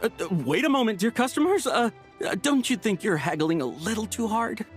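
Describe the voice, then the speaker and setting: A man's voice: high voice, expressive, brisk, faint music behind. A man voicing an ingratiating tea shop vendor in a video game, polite and nervous, with a stammering delivery. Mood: flustered.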